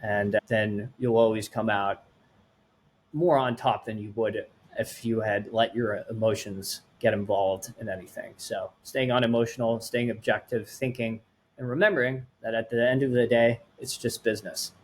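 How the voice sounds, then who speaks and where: Young Swedish-accented man, low voice. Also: fairly even intonation, normal speed. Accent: light Swedish. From Sweden. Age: late 20s. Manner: earnest, rambling with restarts. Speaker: startup founder guest. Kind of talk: podcast interview answers.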